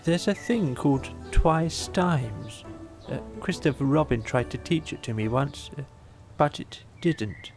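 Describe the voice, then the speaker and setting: A woman's voice: extremely low pitch, animated, unhurried, creaky, a little mellow, soft background music, heavily acted. A woman voicing a good-natured, absent-minded toy bear who speaks hesitantly in a children's audiobook. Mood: muddled. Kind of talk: children's audiobook character acting.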